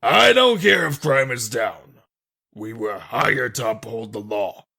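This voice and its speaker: A man voicing a loud, grouchy hard-boiled detective in a comic dub, griping in slangy bluster with a raspy, forceful voice. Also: medium pitch, expressive, slow pace, exaggerated voice. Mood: indignant.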